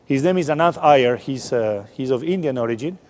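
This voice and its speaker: A man's voice: medium pitch, lively intonation, fairly quick, a little mellow. Man lecturing in a reverberant hall. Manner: explanatory, informal, frequent restarts. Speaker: scientist giving a public lecture. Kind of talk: public science lecture.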